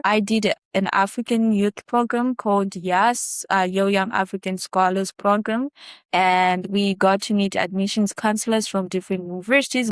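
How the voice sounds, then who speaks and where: A young woman from Zimbabwe speaks with a smooth, clear voice in a dry, close recording. She answers in a relaxed, conversational way and often pauses on fillers. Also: medium pitch, dry recording. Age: young adult. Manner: relaxed, many fillers, laughs lightly. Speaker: student guest.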